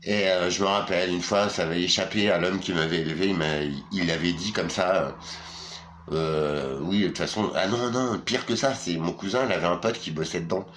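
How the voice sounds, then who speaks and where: A man's voice: low voice, a little fast, fairly bright tone, a little hiss or hum. A tired middle-aged man from France, ranting casually to camera, crude and rambling with many fillers and restarts. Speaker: vlogger.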